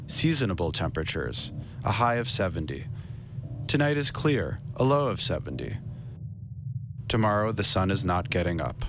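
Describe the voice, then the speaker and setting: A man in his thirties narrating a literary radio drama in a measured, solemn, deliberate way, close to the microphone. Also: deep voice, noisy background. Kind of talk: scripted literary narration, poem recital. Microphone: large microphone on a stand, close. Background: string instruments playing.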